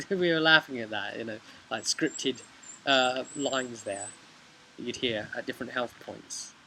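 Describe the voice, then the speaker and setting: A man in his thirties tells the story of a tabletop game session in a casual, animated way. His voice is mid-pitched and bright, with a forward edge. He is recorded outdoors on a camera mic, with some faint background noise. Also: unhurried. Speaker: game master recapping his campaign. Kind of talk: casual storytelling vlog about a tabletop role-playing session. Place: outdoors on a bench beside a brick house. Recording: noisy outdoor home video recording.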